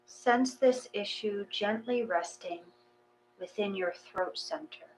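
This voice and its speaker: A woman leads a guided meditation at a slow, spacious pace. Her voice sits at a medium pitch and she reads gently, leaving pauses between phrases. A faint electrical hum runs under the recording. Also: rough recording.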